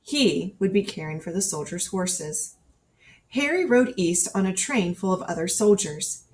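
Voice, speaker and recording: A woman reading a children's picture book aloud in an expressive storytelling style, acting out the dialogue as she goes. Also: on the low side, measured pace. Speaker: storybook reader. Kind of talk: scripted children's storybook narration read aloud.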